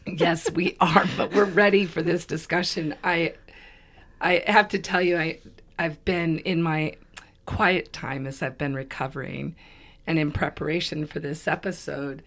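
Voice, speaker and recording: A woman with a very low, froggy voice, hoarse and raspy from laryngitis. She co-hosts a podcast and talks in a loose, rambling way, restarting her sentences as she thinks aloud. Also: steady tone, measured pace, a bit bright. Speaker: podcast co-host. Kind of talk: casual conversation.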